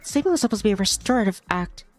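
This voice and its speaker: A woman's voice: mid-range voice, lively intonation, racing. A woman streamer reading a visual novel aloud as story narration, now and then stumbling over a word and rereading it. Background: music behind the voice.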